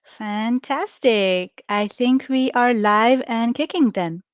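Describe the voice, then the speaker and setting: A woman's voice: a little high, slow, clear, resonant tone, no room sound, muffled recording. A woman in her thirties from India giving a live admissions webinar, upbeat and chatty, often checking in with "right?". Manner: upbeat, interactive, explaining with frequent "right?". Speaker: admissions director.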